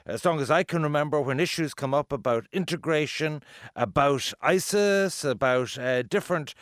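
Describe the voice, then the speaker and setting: A man's voice: on the high side, normal speed, dry recording, clean studio sound. A man with an Irish accent hosting a conversational radio interview, probing in a chatty way with questions piled up.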